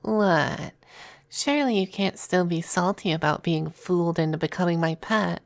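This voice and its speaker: A woman playing a possessive demon femboy in a close, intimate ASMR roleplay. The delivery is unhurried and coy, with a creaky edge and a very dry, close sound. The demon teases smugly yet affectionately, often slipping toward a breathy whisper. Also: mid-range voice, no room sound.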